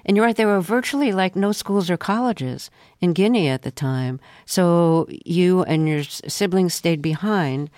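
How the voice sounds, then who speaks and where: A woman hosting a radio interview, asking calm, probing questions with frequent pauses and ums, from a studio. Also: on the low side, dry recording.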